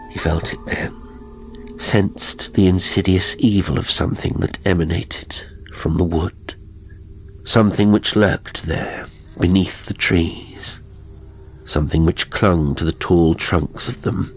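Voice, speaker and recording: A man reading a horror story as an audiobook narrator, in measured, suspenseful phrases that often fall to a hush. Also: low-pitched, vocal fry. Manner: measured, suspenseful, breaking into short weighted phrases. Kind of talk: scripted dramatic horror narration. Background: faint music.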